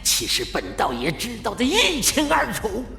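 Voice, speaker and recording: A man playing a sinister old Daoist master in an audio drama, drawling, mocking and cruel, with a gravelly voice. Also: sits high, wildly swooping pitch, moderate pace, pushed and bright, full character voice. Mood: menacing mockery. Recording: echoey reverberant cave effect, music behind.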